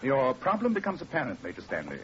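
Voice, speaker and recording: An actor playing an aloof, precise English master detective in a clipped, imperious 1940s radio manner. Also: male voice, mid-range voice, huge pitch swings, fast. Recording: muffled, noisy 1940s radio recording.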